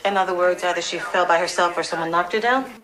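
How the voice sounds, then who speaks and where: A woman playing a brisk, shrewd police detective in a crime audio drama, dry and matter-of-fact. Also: fairly low pitch, crowd noise, lightly acted. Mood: brisk, analytical.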